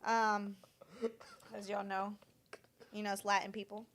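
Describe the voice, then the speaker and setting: A young woman co-hosting a podcast in casual chat. She was born in Florida, grew up partly speaking Portuguese, and talks bluntly with lots of profanity and animated storytelling. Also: mid-range voice, unhurried. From born in Florida, raised partly in Brazil. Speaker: podcast co-host.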